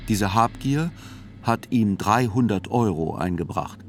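A man's voice: fairly low pitch, lively intonation, a little slow, faint music behind. An actor playing a sober, reflective male reporter who narrates a radio drama in literary reportage style.

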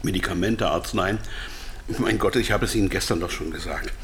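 Male hostage, lowish pitch, nervous, exasperated, emphatic, pleading, radio drama. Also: brisk, a bit bright, a little hiss or hum. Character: frightened, exasperated male captive businessman, pleading. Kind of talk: radio play acting, narrated dialogue.

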